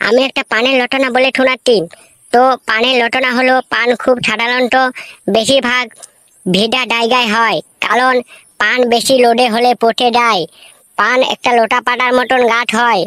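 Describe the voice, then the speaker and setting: Casting: woman as earnest cartoon school pupil, high flat voice, halting and repetitive recitation. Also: no room sound, natural voice. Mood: earnest, proud. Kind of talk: comic cartoon classroom recitation.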